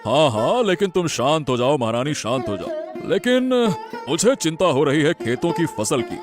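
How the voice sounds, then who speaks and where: Man acting an animated, concerned king. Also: a little high, moderate pace. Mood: concerned, soothing. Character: concerned, authoritative king reassuring his queen. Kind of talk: Hindi moral-story audio drama acting. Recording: music behind the voice.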